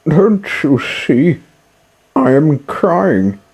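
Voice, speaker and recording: A man's voice: fairly high pitch, very animated, extremely slow, darkish tone, husky, pitched above the performer's own voice, full character voice. A man voicing a grieving young wooden puppet boy, pleading and tearful, in a storybook reading. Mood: eager, anxious.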